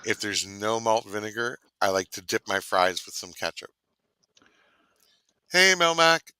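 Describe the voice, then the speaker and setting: A man's voice: fairly low pitch, very animated, unhurried, pushed and bright, clean tone, no echo. A man from Canada co-hosting a casual online cooking chat, rambling and savoring his words. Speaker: co-host.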